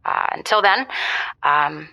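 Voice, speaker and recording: A woman's voice: low voice, takes it slowly, creaky, dull audio, full character voice. An actress playing a candid, skeptical woman caller in a naturalistic audio drama, conversational and probing. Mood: disappointed, frank. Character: candid, skeptical woman caller gently challenging her date.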